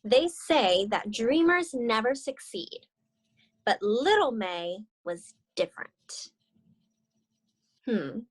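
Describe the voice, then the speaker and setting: A young woman reading a children's storybook aloud on a video call, expressive and encouraging as she chats about it. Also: a little high, takes it slowly, long pauses, low-quality recording. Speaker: read-aloud co-host, story reader.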